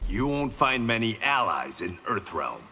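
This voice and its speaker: A man's voice: fairly low pitch, very animated, unhurried, bright, forceful tone, exaggerated voice. An actor playing a resolute, wry blind swordsman in a fighting game, speaking with dry confidence and determination. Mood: defiant. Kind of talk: fighting game character banter. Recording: long reverb, faint background noise.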